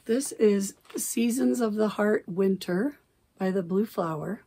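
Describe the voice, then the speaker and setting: A middle-aged woman talks chattily at her desk camera in a home craft room, often circling back to correct herself. Her mid-range voice carries a gravelly fry, and it is still recovering after she lost it. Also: medium pitch, takes it slowly, warm tone. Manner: rambling, self-correcting, chatty. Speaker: cross-stitch vlogger. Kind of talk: casual chat. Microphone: camera at desk distance.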